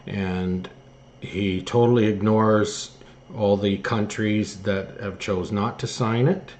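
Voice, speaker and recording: Halting low-voiced man, noisy recording. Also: fairly even intonation, darkish tone. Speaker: host of an online political news commentary show. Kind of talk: political opinion commentary, partly read from articles.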